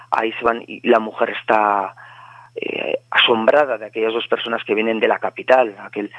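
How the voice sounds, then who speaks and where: A man's voice: mid-range voice, no room sound. A man, a literary translator, speaking reflectively and hesitantly in an interview, with frequent filler sounds. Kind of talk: conversational interview, anecdote telling. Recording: tinny phone-line sound.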